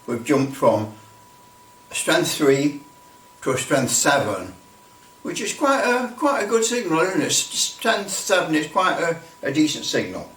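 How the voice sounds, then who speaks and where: A man's voice: fairly high pitch, big pitch swings, measured pace, a bit bright. Man demonstrating step by step, echoey and distant. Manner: explanatory, repeats himself, talks through each step. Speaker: presenter of a hobby demonstration. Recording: roomy echo, distant microphone.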